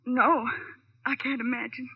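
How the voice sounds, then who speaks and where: A woman's voice: high-pitched, little pitch movement, unhurried, breathy edge, exaggerated voice. A woman playing an anxious, naive young nightclub entertainer, earnest and plaintive, in a vintage radio drama. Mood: anxious, puzzled. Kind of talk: 1940s-50s radio drama acting. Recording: muffled old radio broadcast.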